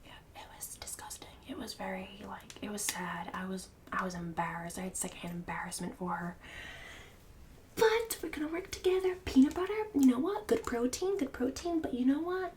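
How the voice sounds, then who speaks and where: A woman's voice: a little high, darkish tone, distant mic, a little hiss or hum, lightly acted. A woman playing a snobbish, mean suburban book club hostess in an ASMR roleplay, partly whispered, with condescending, fake-polite emphasis. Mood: catty judgment, then patronizing.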